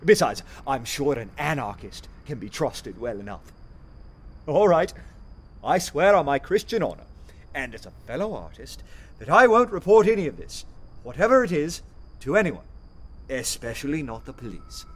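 Man acting a wry, animated skeptical poet. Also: mid-range voice, moderate pace, lightly acted. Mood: wry, agreeable. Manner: wry, urbane, ironic. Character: witty skeptical male poet, dryly polite and teasing. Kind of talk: radio play acting.

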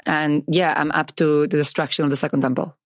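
A woman with a slight accent of unclear origin co-hosting a podcast, talking casually and quickly with lots of fillers. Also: low voice, dry recording. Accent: ambiguous foreign accent. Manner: lively, quick, many "like" fillers. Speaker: podcast co-host. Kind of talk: casual chat.

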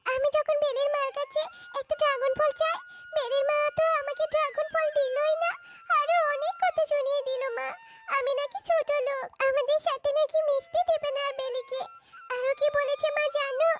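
A woman's voice: extremely high pitch, no echo, studio quality. A woman voicing an earnest, childlike little animal girl in a cartoon, with a pleading manner. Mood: hurt, earnest. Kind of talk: cartoon voice acting. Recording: music behind the voice.

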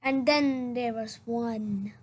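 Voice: medium pitch, fairly even intonation, takes it slowly. A child storyteller reading a picture book aloud, exclaiming the sound words dramatically.

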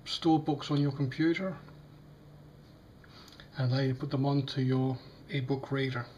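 A man with a slightly croaky voice, demonstrating a device in a casual, hesitant way with many fillers. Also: medium pitch, steady tone, measured pace, warm tone, dull audio, a little hiss or hum. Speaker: tech unboxing vlogger. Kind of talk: casual product demo. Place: small indoor room at a table.

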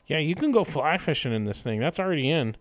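A man's voice: on the high side, sing-song, fast talker, poor audio. A man doing casual live gameplay commentary in a playful, exclaiming way, often talking to himself. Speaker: game streamer.

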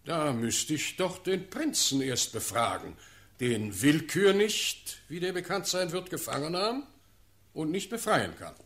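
A shrewd, imperious ruler in a classical radio play. He speaks slowly and formally, with pitch that rises and falls widely as he questions and commands. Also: very animated, fairly bright tone, exaggerated voice. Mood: guarded authority. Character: commanding, shrewd ruling Elector of Brandenburg. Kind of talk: German classical verse radio drama.

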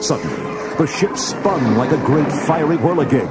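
A man's voice: on the high side, sing-song, normal speed. A man reads a scripted storybook narration. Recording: loud music under the narration.

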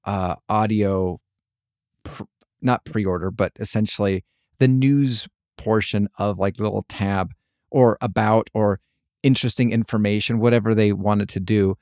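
A man hosting a podcast, talking casually by himself in a halting, rambling way. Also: on the low side, steady tone, darkish tone, dry recording, clean studio sound. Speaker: podcast host. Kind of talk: casual solo podcast commentary.

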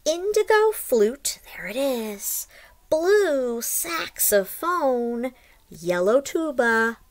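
A woman in her forties reading a picture book to young children in an expressive, sing-song, deliberate way, like a teacher, recorded at home on a webcam microphone. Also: on the high side. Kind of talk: read-aloud lesson for young children. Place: small room at home, indoors.

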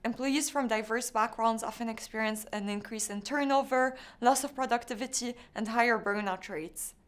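A young woman from Lebanon, a startup founder, delivering a rehearsed, measured pitch. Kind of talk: scripted pitch.

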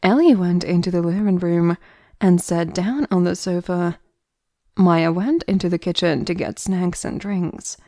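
A woman's voice: on the low side, measured pace, warm tone, no room sound, studio quality. A woman reading scripted narration for an audio drama.

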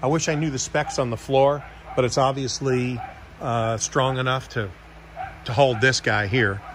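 A man's voice: mid-range voice, steady tone, a little slow, fairly bright tone, a little hiss or hum. A man working as a real estate agent, talking casually and jokily through an unscripted walkthrough tour. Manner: folksy, offhand, jokey.

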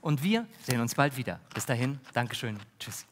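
A man in his thirties playing an earnest, polite talk-show host with a formal, measured manner. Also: medium pitch, big pitch swings, heavy background noise, close to an everyday voice. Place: large brick-walled indoor stage. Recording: reverberant theatre hall.

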